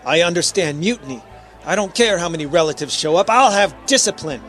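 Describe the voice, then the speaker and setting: Radio drama: man as earnest spaceship captain, fairly high male voice, emphatic, commanding, sentimental. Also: animated, fairly quick, fairly bright tone, faint music behind. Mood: stern, angry.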